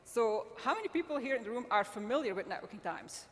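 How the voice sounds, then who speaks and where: A woman's voice: on the high side, very animated, fast talker, a little hiss or hum. A middle-aged woman from Belgium, speaking English as a later-learned language, giving an earnest talk to an audience with frequent restarts. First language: not English. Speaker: magazine editor-in-chief speaking at a summit. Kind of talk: conference talk to a live audience. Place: large event hall. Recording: long hall reverb.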